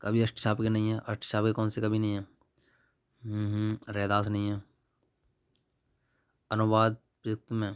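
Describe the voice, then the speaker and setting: A man's voice: lowish voice, vocal fry, smooth. A young man, informally going through exam questions and answers as a tutor in an offhand, colloquial manner. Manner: offhand, colloquial, addresses viewers as brother. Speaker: exam-prep YouTube tutor.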